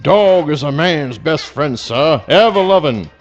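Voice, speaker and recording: Man playing a pompous, high-pitched bloodhound. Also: lively intonation, measured pace, lo-fi sound, faint music behind. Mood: smug, courtly. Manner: grandiloquent, theatrical, flowery formal diction. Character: pompous, clumsy male bloodhound, self-styled faithful companion. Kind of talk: live comic radio play reading.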